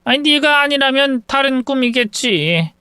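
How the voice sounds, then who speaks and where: A man voicing an older woman, a soft-spoken, doting mother-in-law. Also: extremely high pitch, lively intonation, moderate pace, smooth, no room sound, studio quality, pitched above the performer's own voice, exaggerated voice.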